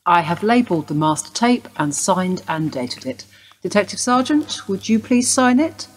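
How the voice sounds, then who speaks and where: A woman playing a brisk, polite, procedural young police detective in a radio play. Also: mid-range voice, very animated, slight background noise, lightly acted. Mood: formal, polite. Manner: polite, official. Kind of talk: naturalistic radio drama acting.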